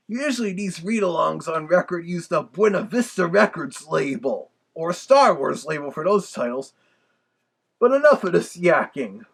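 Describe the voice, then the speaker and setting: A man voicing a chatty, nostalgic big bad wolf with a gravelly, husky voice, giving a folksy casual review. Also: a little high, animated, unhurried, full character voice. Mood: amused.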